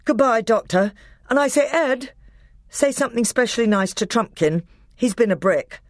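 A woman voicing a brave, commanding young high king in a storybook reading. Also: lively intonation, moderate pace, no room sound, clean studio sound, lightly acted. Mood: brave farewell.

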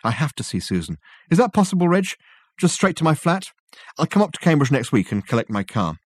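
A man's voice: on the high side, sing-song, a little fast, husky, dry recording, clean studio sound, pitched above the performer's own voice. A man voicing a weary, harried young man who hesitates with ums and uhs.

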